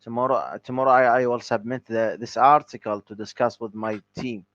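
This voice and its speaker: A man whose first language is Arabic, speaking hesitantly with many pauses and restarts as a learner in a tutoring session. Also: mid-range voice, steady tone, a bit bright, dry recording, lo-fi sound.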